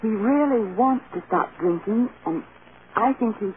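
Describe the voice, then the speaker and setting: A woman's voice: on the high side, expressive, measured pace. Old time radio drama, sweet anxious young movie star lady, hesitant, tinny sound. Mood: subdued, worried. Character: earnest, anxious young female film star, devoted wife. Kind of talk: 1930s-40s radio drama acting. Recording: thin, noisy vintage radio recording.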